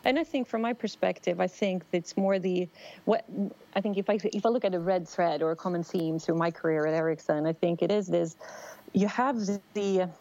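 A woman's voice: lowish voice, a little fast, warm tone, lo-fi sound. A middle-aged woman from Sweden with a Swedish accent, giving a thoughtful interview answer with many fillers and restarts. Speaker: telecom executive guest. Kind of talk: conversational interview.

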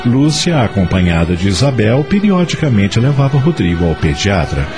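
A man with a fairly low voice narrates a radio soap as a storyteller. His delivery is lively and expressive, with soft music underneath. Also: normal speed. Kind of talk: scripted radio drama narration.

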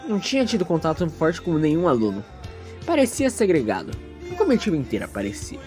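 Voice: medium pitch, wildly swooping pitch, a little fast, dark tone, faint music behind. A narrator telling a scripted story in a theatrical, self-mocking way with playful asides.

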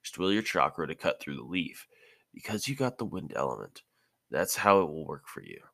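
A man's voice: a bass voice, very animated, normal speed, vocal fry, bright, forceful tone, no room sound, heavily acted. A man voicing a dry, patient adult ninja teacher in a fan fiction audiobook, instructive and mildly exasperated.

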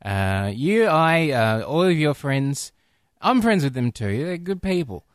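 A man co-hosting a film podcast, excitable and quick to laugh, sometimes breaking into loud impressions. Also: fairly high pitch, dry recording, clean studio sound. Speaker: podcast co-host. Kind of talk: casual film discussion.

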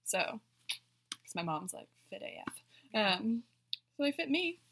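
A young woman with a soft voice, co-hosting a podcast and chatting casually with her friends, telling relaxed stories between giggles. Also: fairly high pitch, slow pace, vocal fry, husky. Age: young adult. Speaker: podcast co-host. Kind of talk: casual chat among friends.

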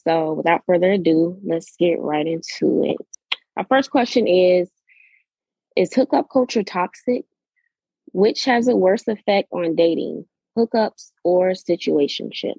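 A young woman from Florida co-hosts a podcast in an upbeat tone. Her voice is low and gravelly, and it sounds husky and dark. The recording is dry and muffled. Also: measured pace, no room sound. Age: 20s. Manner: upbeat, laughs often. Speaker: podcast co-host. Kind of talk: casual podcast hosting.

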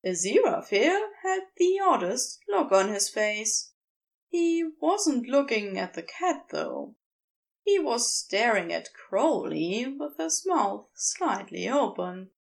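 A woman narrates a piece of fiction in a fairly high, highly expressive voice. Her delivery is unhurried, with a clearly creaky edge as she reads. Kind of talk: scripted story narration.

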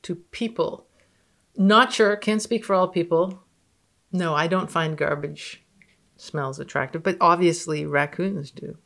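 A woman's voice: lowish voice, a little slow, creaky, fairly bright tone. A woman physicist appearing as a podcast guest, reading research aloud and chatting in a dry, matter-of-fact way. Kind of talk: dramatic reading and banter on a podcast.

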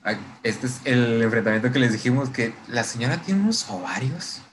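A man's voice: mid-range voice, lively intonation, normal speed. Irreverent Mexican man, noisy recording. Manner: irreverent, heavy slang and swearing. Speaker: podcast co-host. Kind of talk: casual chat recapping a TV series.

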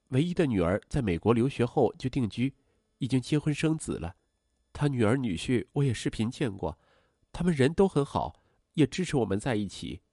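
A man voicing a gentle, hesitant middle-aged mother in a story narration, speaking in a hushed, coaxing way. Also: mid-range voice, no room sound, clean studio sound. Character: soft-spoken, pleading middle-aged mother, hesitant and bashful. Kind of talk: acted dialogue in audio story narration.

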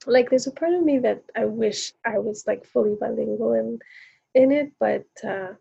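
A woman's voice: a little high, normal speed, gravelly, warm tone, slightly rough, dull audio. A woman from South Africa co-hosting a casual podcast chat, talking in a rambling, reflective way with many fillers. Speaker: podcast co-host.

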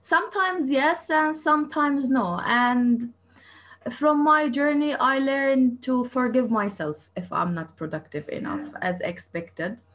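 Higher-voiced woman. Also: slow, fairly bright tone. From East Jerusalem. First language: Arabic. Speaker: interview guest, coach and researcher. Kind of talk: interview answers.

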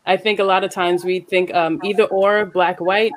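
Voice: medium pitch, level tone, moderate pace. A moderator on a panel discussion, chatty and self-deprecating while asking a question.

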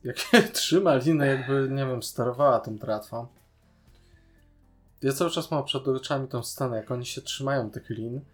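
A man's voice: medium pitch, moderate pace, soft background music. A man, co-hosting a podcast and discussing a series casually, in an opinionated, questioning manner. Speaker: podcast co-host.

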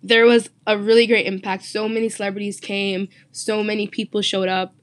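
An earnest young woman hosts a student discussion show. Her voice sits in a steady, narrow pitch band. The recording is close and dry. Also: a little fast, dry recording. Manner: earnest, asks the panel questions. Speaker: host.

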